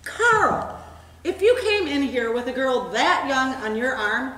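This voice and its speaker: Actress playing a world-weary lady bartender, slow frank talk, dry humor, echoey room. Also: high voice, animated, fairly bright tone. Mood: scolding. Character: wry, world-weary, straight-talking woman tending bar. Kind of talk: stage comedy dialogue. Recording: echoey room with background noise.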